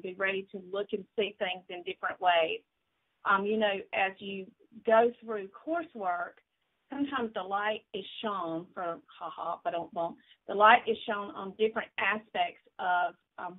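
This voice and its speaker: A woman's voice: mid-range voice, slightly rough. A woman presenting a webinar as associate dean, rambling with many fillers over a video call. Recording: phone-like video call audio.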